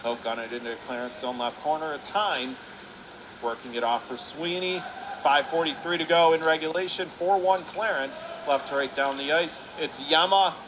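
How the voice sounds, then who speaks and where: High-pitched hockey announcer, man, noisy rink. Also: moderate pace. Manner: urgent calls of the action in clipped phrases. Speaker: radio play-by-play hockey announcer. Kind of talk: live hockey commentary. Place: indoor ice hockey arena. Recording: clearly noisy live rink recording.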